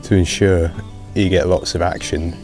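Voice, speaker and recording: British male angler, thirties, low unhurried voice, chatty riverside commentary over clear background music. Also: fairly even intonation, a little mellow. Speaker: angler presenting a fishing video. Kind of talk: casual fishing commentary to camera. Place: outdoors on a wooded riverbank.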